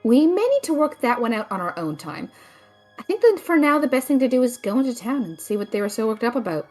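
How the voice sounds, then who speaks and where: A woman's voice: a little high, very animated, fast, a little mellow, faint music behind. A woman playing a witty, brainy magical sidekick, dry, teasing and precise, in a fantasy audio drama. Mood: practical.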